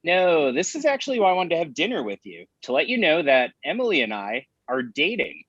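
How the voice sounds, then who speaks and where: A man's voice: fairly high pitch, wildly swooping pitch, brisk, a bit bright, breathy edge, no room sound, heavily acted. An actor playing a glib, smug man in his thirties with a casual manner, reading a comedy script on a muffled microphone. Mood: breezy. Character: glib, breezy thirty-three-year-old man, smug rival friend. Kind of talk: naturalistic comedy table read.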